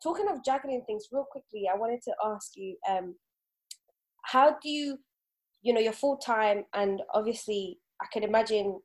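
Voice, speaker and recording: A woman's voice: mid-range voice, a little mellow, low-quality recording. A young woman hosting a podcast in casual chat, informal and often checking that she is understood. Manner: informal, often checks in with "do you know what I mean". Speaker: podcast host.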